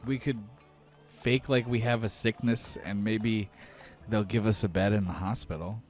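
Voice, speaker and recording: A man's voice: fairly low pitch, fairly even intonation, normal speed, lightly acted. Guy doing a tired little sidekick in a tabletop game, hungry, loyal, plain-spoken, music behind. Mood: scheming. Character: small tired hungry male companion with little legs.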